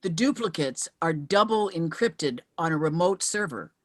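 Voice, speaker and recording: A woman's voice: low-pitched, animated, normal speed, no echo, clean studio sound. An actress reading the part of a determined widow in her fifties at a screenplay table read. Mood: earnest, probing.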